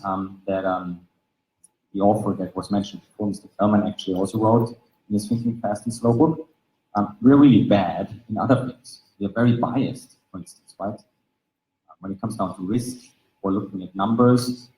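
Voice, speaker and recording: A man's voice: lowish voice, a little mellow, echoey room, low-quality recording. A man from Austria with an Austrian German accent, German as his first language, giving a conference talk in an explanatory manner, leaning on fillers like "basically" and "right?". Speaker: conference speaker. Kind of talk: conference presentation. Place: conference venue.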